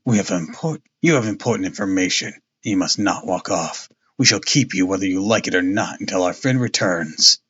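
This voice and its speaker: A man voicing a gruff, blustering police detective who is easily impressed, in a storybook reading. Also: sits low, expressive, moderate pace, hardly any pauses, fairly bright tone, lo-fi sound, voice pushed down, exaggerated voice. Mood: stern.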